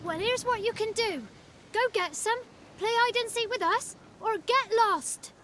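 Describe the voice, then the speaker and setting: A little peasant kid in a fantasy game, piping and very high with a bright, sharp edge. The child is cheeky, blunt and bossy. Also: female voice, a little slow, a little hiss or hum. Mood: cheeky, bargaining. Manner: blunt, rustic childish speech. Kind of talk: video game character acting.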